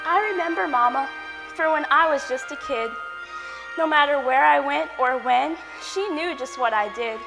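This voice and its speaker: A woman's voice: high-pitched, normal speed, creaky, music in the background. A woman playing a devoted, earnest grown daughter with a dramatic streak, delivered theatrically for a stage comedy. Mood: sentimental. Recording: echoey hall with long reverb.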